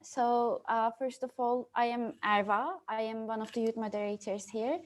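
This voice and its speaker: A woman's voice: a little high, steady tone, normal speed. A young woman from Turkey moderating an online breakout session, hesitant, with many fillers and restarts. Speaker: youth moderator. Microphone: video call.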